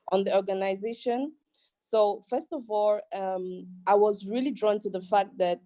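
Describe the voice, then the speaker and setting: A woman from Cameroon on a video call as a student guest, cheerfully describing her experience. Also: medium pitch. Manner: cheerful and excited.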